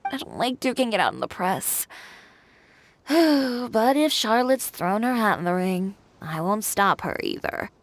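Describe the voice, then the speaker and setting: A woman's voice: mid-range voice, sing-song, unhurried, no room sound. A woman voicing a confident, stubborn young fashion designer who talks in a cool, wry, self-assured way. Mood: determined. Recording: game audio over soft music.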